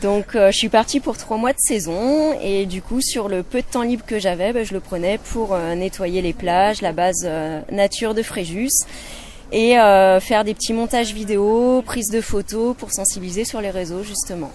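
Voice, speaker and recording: A woman in her thirties from Brittany, a lifeguard and volunteer, answering interview questions in a relaxed, hesitant way. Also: mid-range voice, normal speed, a little hiss or hum. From Brittany, France. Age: 30s.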